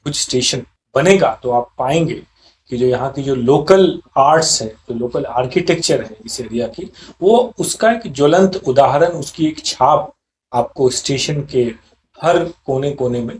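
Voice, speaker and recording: A man speaking as a railway official in an interview, explaining in a halting way and mixing English terms into his Hindi. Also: medium pitch, darkish tone. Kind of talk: interview explanation of a development project. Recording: clearly noisy location recording with ambience.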